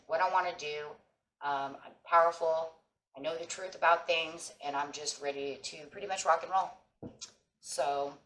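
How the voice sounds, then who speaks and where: Hoarse low-voiced woman reading tarot. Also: echoey room, a little hiss or hum, low-quality recording. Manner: warm encouraging, addresses viewers as friends. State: hoarse from a sinus infection. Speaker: tarot reader. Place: table with tarot cloth, indoors.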